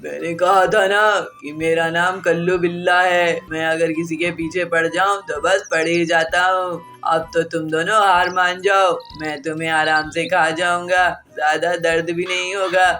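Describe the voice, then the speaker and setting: A woman's voice: on the low side, flat delivery, unhurried, hardly any pauses, a bit bright. Lady doing a gloating bully tomcat bad guy for a kids cartoon, music behind. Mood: smug menace. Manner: gloating, threatening. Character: boastful menacing male tomcat villain hunting birds. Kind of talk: children's cartoon story voices.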